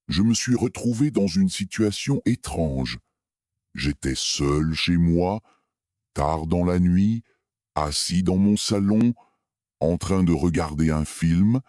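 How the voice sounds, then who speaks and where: A man narrating a scripted first-person scary story in a measured, suspenseful way. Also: low voice, warm tone, no room sound, studio quality.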